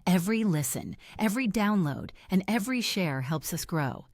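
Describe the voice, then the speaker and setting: A woman reading a scripted podcast outro in an even, polished way. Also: medium pitch, normal speed, no echo, clean studio sound. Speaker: outro announcer.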